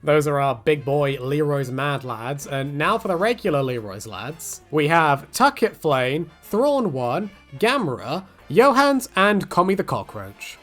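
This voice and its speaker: A man's voice: on the high side, very animated, a little slow, few pauses, fairly bright tone, faint music behind. A man hosting a casual chat in a rambling, joking way with crude humour. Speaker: host.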